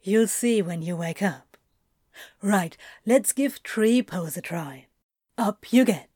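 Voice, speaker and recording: Mellow, unhurried male yoga teacher played by a woman. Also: mid-range voice, lively intonation, husky, no echo, studio quality. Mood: reassuring. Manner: gentle, reassuring, playful. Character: easygoing, teasing, encouraging male yoga teacher. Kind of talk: audio fiction character acting.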